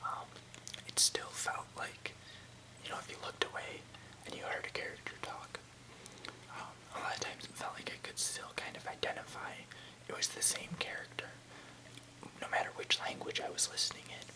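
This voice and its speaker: An ASMR host speaking entirely in a soft, unhurried whisper, reading aloud and chatting casually. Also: rough recording.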